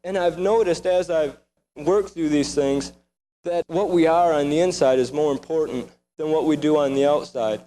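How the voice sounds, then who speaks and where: A man's voice: on the high side, normal speed, dark tone. A man giving a reflective spoken testimony during a concert. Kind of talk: spoken testimony between songs. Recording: slightly noisy hum behind voice.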